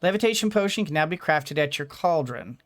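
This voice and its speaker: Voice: medium pitch, lively intonation, brisk, soft background music. A let's play streamer giving casual game commentary, rambling and thinking aloud.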